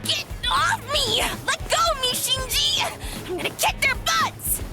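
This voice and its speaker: A woman's voice: extremely high pitch, a little slow, pushed and bright, heavily acted. A woman voicing a brash, hot-tempered tomboy girl warrior in a game cutscene dub, rude and insulting. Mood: furious. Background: game music.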